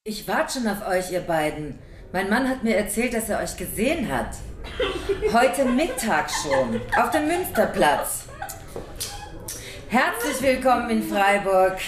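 An actress playing a brisk, teasing, hospitable woman lawyer in a German radio crime drama. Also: mid-range voice, sing-song, echoey room, slight background noise. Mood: welcoming. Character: brisk, amused woman lawyer hosting her friends.

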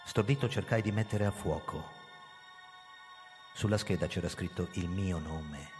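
An actor playing a proud, guarded older police commissioner who narrates his own story in a theatrical, measured radio drama style. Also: on the low side, darkish tone. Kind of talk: live radio drama reading with music. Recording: reverberant hall with music behind. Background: music.

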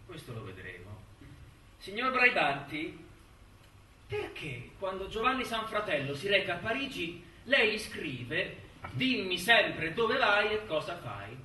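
An insinuating lawyer conducting a formal interrogation in a stage drama. The voice is high and very tense, with pointed, insistent delivery. It sounds distant from the microphone, in a reverberant room. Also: male voice, sing-song, unhurried, sharp tone, full character voice. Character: insistent, insinuating male lawyer cross-examining a defendant. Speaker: stage actor. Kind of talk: theatrical courtroom interrogation. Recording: roomy stage sound, distant mic, faint noise.

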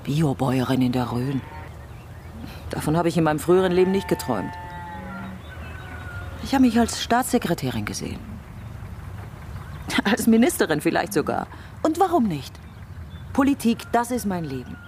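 A woman's voice: fairly low pitch, sing-song, moderate pace, long pauses, darkish tone. Defiant middle-aged lady politician in a radio drama, swoops up and down, emphatic, noisy background. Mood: bitter, ambitious. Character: ambitious, defiant female politician, prickly and disillusioned. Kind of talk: German radio crime drama acting.

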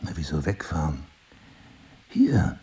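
An actor playing a brooding, suspicious middle-aged husband and crime writer with amnesia in an intimate audio drama, gravelly and husky, probing and sardonic. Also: male voice, a bass voice, wildly swooping pitch, slow pace, mellow, slight background noise, full character voice. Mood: playful, seductive.

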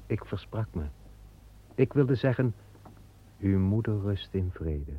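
A man voicing a calm, solemn male angel guarding a paradise garden, speaking slowly and with measure in an old radio play. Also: fairly low pitch, slightly rough, exaggerated voice. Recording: dull old radio play recording with music.

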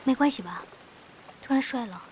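A woman's voice: on the high side, animated, normal speed, darkish tone, a little hiss or hum, lightly acted, rough recording. An actress playing a blunt, teasing young female companion in a Chinese fantasy audio drama. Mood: scolding, teasing.

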